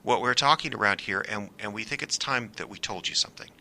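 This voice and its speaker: Man acting nervous friend, sharp bright voice. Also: lowish voice, quick pace. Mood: awkward, placating. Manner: hesitant, stammering, earnest. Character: nervous, placating male friend withholding a secret. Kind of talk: naturalistic audio drama acting.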